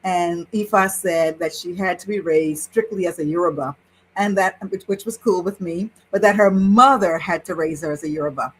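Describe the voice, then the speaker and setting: A woman in her sixties, a priestess and interview guest, recounting her spiritual journey in an animated way with frequent laughter. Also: fairly low pitch, moderate pace, slightly rough, low-quality recording. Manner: animated, laughs while telling a story. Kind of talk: personal storytelling in conversation.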